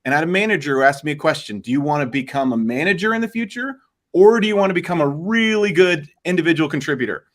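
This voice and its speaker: A man's voice: fairly high pitch, expressive, fast talker. A man speaking fluently and in a friendly way as a trainer and guest on a live online panel. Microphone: video call.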